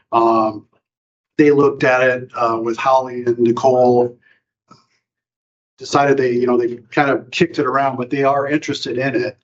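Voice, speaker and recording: A man from county staff explains a software deal to a board, halting and full of fillers and restarts. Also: mid-range voice. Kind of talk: informal meeting presentation. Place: county board meeting room. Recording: dull lo-fi meeting recording.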